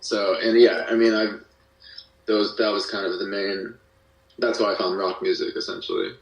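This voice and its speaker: A man speaking as an interview guest in casual chat, haltingly rephrasing as he tells his own story. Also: lowish voice, steady tone, lo-fi sound. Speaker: podcast guest, guitarist. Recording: roomy, distant mic, faint music.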